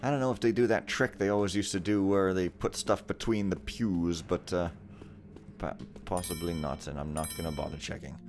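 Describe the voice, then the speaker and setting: A man's voice: low voice, fairly even intonation, moderate pace, darkish tone, slight background noise. A young man giving casual, wry, rambling commentary over a game he is playing. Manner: rambling, wry, sometimes reads game text aloud dramatically. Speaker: let's play commentator.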